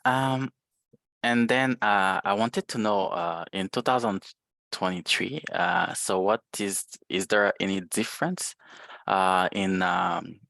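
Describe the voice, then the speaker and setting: Slow, hesitant man with a low, gravelly voice. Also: pushed and bright, no room sound. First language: French. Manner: hesitant, many filler sounds. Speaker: guest presenter, librarian. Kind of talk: webinar presentation. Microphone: video call.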